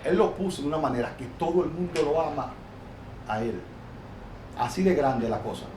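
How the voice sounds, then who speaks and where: A Dominican man with a Dominican Spanish accent and a fairly high voice preaches in a loose, animated way, stumbling and restarting as he goes. The sound is roomy and echoey, with a faint hiss of noise underneath. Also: normal speed. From Dominican Republic. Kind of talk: informal Bible discussion. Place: New York.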